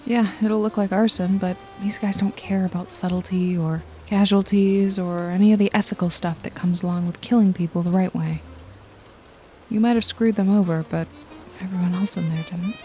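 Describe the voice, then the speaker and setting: A woman's voice: medium pitch, steady tone, normal speed, mellow, natural voice. A woman playing a wry, jaded detective and hired killer in a noir ASMR roleplay, nonchalant and teasing with a casually menacing edge. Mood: grim, annoyed. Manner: sardonic, foul-mouthed, nonchalant, teasing. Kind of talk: noir ASMR roleplay, intimate one-sided dialogue to listener. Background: jazzy music and rain effects.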